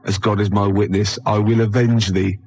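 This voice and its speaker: A man's voice: fairly low pitch, flat delivery, normal speed, darkish tone, husky, voice pushed down. A man voicing a solemn, vengeful teenage gunslinger from a western novel. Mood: solemn vow.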